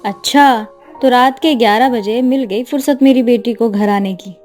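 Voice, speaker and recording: A woman playing a middle-aged mother and mother-in-law who instructs and gently scolds in a Hindi moral story cartoon. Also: fairly high pitch, moderate pace, faint music behind, natural voice. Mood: scolding, worried.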